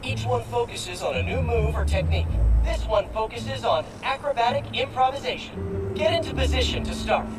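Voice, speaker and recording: An actor playing an upbeat superhero mentor giving instructions as a training guide in a video game. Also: male voice, extremely high pitch, normal speed, heavy background noise. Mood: instructive. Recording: game audio under loud music.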